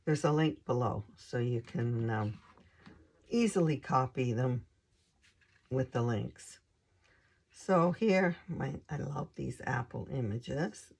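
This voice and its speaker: Slow, hoarse woman with a gravelly voice. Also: rumbling low, lively intonation, darkish tone. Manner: unhurried, hesitant, lots of ums. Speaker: craft channel host. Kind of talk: casual show-and-tell of scrapbook pages.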